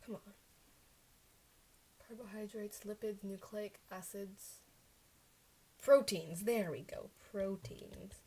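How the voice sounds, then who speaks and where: A young woman, a native Chinese speaker, talks at an ordinary middle pitch as she works through her study notes on a livestream. She mutters, second-guesses and corrects herself as she goes. A faint background hiss sits under her desk microphone. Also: steady tone, slow pace, long pauses, warm tone, rough recording. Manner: mutters to herself while checking answers, self-correcting.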